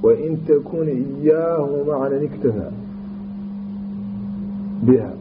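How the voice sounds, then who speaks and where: A man teaching a classical grammar lesson, explaining slowly with restarts and repetitions and chanting verse lines. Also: mid-range voice. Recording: tinny phone-like line with background hum.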